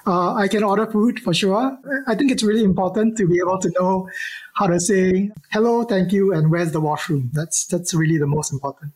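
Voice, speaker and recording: A man from Singapore, answering interview questions about his work in a halting way with many restarts. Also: sits high, steady tone, darkish tone, slightly rough, rough recording. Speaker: archaeologist guest.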